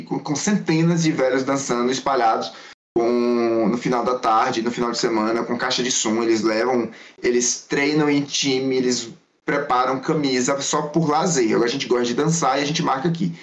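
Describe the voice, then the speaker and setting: A man's voice: mid-range voice, steady tone, normal speed. A man, a Mandarin school teacher and guest, answering casually and rambling through anecdotes. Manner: rambling, storytelling, self-correcting. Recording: dull lo-fi audio.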